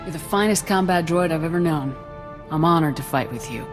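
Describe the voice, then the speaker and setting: An actress playing a steady, supportive military squad commander in a video game. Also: female voice, sits low, a little fast. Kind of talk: scripted video game acting. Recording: game cutscene with echo and music.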